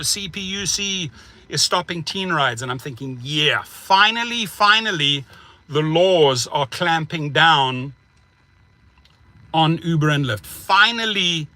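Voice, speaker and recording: A man's voice: a little high, dry recording. A man in his forties with a South African accent, ranting emphatically to his phone from a parked car as a vlog host. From United States. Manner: emphatic, animated, gestures while talking. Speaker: rideshare driver and channel host. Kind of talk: casual vlog rant to camera. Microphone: phone held at arm's length.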